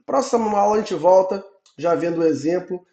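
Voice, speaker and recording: Hoarse male instructor, higher pitched, explains patiently; roomy recording. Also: a little fast, dark tone, dull audio. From Brazil. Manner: explains in repeated checks, ending phrases with "tá?". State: hoarse from a cold. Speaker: database instructor. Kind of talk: online technical lecture.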